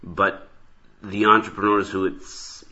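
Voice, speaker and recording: A man hosts an interview in a low, very punchy and forceful voice. He talks at length in a conversational way, rephrasing as he goes. The audio is slightly dull. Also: level tone, slow. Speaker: talk show host and interviewer. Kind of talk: conversational interview with sponsor plugs.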